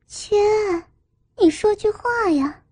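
An arrogant young woman of a romance audiobook, acted out by a woman narrator. She speaks very high, with a haughty, whining edge, in a dry close recording. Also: lively intonation, normal speed, warm tone, dry recording. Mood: indignant. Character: arrogant, spoiled young woman, clingy and shrill when crossed.

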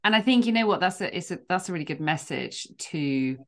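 A woman's voice: lowish voice, lively intonation, a little fast, fairly bright tone. A woman hosting a podcast, chatting with a guest in an effusive, encouraging way. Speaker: podcast host. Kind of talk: conversational interview.

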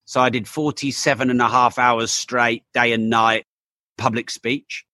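Bright man, upbeat guest. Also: mid-range voice, flat delivery, unhurried, dry recording. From England. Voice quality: voice still not fully recovered. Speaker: podcast guest, entrepreneur. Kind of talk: casual interview chat.

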